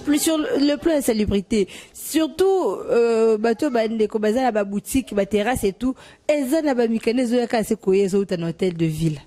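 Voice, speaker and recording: A woman's voice: on the high side, normal speed, mellow. A woman hosting an interview, asking questions in a conversational way with some hesitation. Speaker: interviewer, host.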